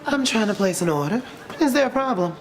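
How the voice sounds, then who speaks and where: An actress playing a proud, kindly, principled customer in a moralistic web drama, earnest and declarative. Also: female voice, medium pitch, animated, fairly quick, lightly acted, rough recording. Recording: music clearly under dialogue.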